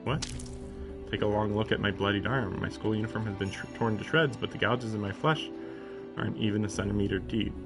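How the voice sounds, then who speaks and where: A man's voice: lowish voice, fairly quick. Male streamer reading dramatically over music. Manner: mock-dramatic readings broken by sarcastic, profane asides. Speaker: streamer reading a visual novel aloud. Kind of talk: live commentary mixed with dramatic read-aloud.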